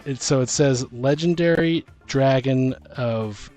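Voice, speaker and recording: Man, podcast co-host, unhurried pace, halting delivery, mid pitch, casual gaming chat, music behind. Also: darkish tone. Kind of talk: casual chat about tabletop gaming, some reading aloud.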